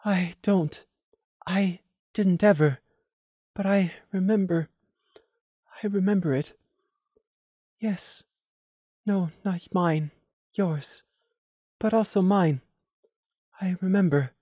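A man doing a soft, stuttering tearful pony mare. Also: sits high, slow pace, long pauses, breathy edge, no room sound, clean studio sound, pitched above the performer's own voice, heavily acted. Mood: lost, halting. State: crying. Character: lost, confused young pony mare, tearful.

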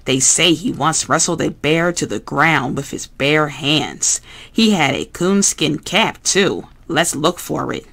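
A serious, earnest puppet man from a storybook, as the reader puts him on. He talks slowly and deliberately, as if giving a small lecture, sitting only a little above the narrator's plain voice. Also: medium pitch, lively intonation, gravelly, sharp tone, exaggerated voice. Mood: proud. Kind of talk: storybook read-aloud.

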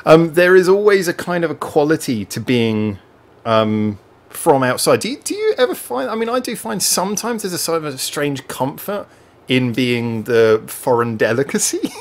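A man's voice: a little high, sing-song, normal speed. A man in his thirties from England, hosting a casual podcast chat over a video call, rambling and restarting his sentences with frequent laughs. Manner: rambling, self-correcting, laughs often. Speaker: podcast host. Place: home room, indoors. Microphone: webcam on a video call.

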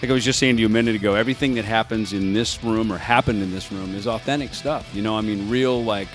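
A low-voiced male musician rambles in a laid-back way. Music plays clearly behind him. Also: steady tone, quick pace. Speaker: guest musician.

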